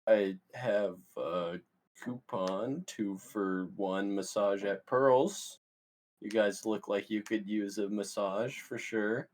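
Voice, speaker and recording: A man playing an awkward, excitable young stoner, cocky and bumbling, in a comedy script read. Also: on the low side, takes it slowly. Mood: awkward wheedling. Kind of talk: comedy screenplay table read.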